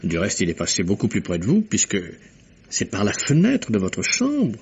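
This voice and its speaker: Husky, courteous gentleman detective. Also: on the low side, huge pitch swings, normal speed, full character voice. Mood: insistent, analytical. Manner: precise, theatrical, explanatory delivery. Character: gentleman amateur detective, courteous, keenly analytical. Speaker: actor. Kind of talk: vintage French radio mystery acting. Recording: muffled old radio drama recording.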